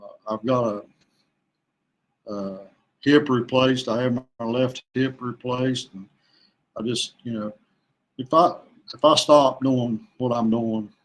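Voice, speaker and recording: An older man chatting casually as a podcast guest, halting and often repeating his words. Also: medium pitch, fairly even intonation, fairly bright tone, rough recording. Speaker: podcast guest, kayak angler. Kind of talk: casual conversational interview answers.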